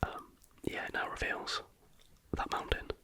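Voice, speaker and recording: A British ASMR host whispering casual, chatty reaction commentary in a hushed, informal, rambling way. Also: measured pace.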